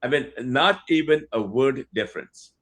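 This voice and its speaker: A man's voice: medium pitch, expressive, moderate pace, a bit bright. A man from India interviewing a guest, speaking conversationally with many hesitations and fillers and the odd chuckle.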